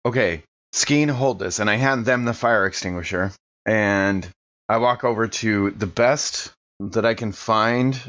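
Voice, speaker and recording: A man's voice: on the low side, a bit bright. A man playing a tabletop roleplay game, casually describing his character's actions in the first person. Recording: dull, lo-fi microphone sound.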